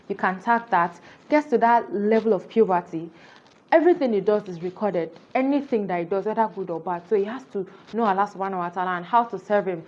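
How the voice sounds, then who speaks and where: Earnest woman reviewing a book, lo-fi. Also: medium pitch. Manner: earnest, explanatory, many "you know" fillers. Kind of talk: casual spoken book review.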